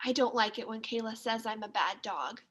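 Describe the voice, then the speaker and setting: A woman's voice: on the high side, normal speed, a bit bright. A woman teacher reading a children's story aloud, expressively and with careful enunciation.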